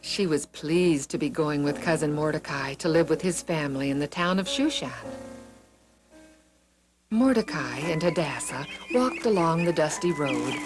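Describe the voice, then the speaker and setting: A woman narrating scripted Bible stories for children in an expressive, deliberate storytelling manner. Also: low voice. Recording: music behind the reading. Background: background music.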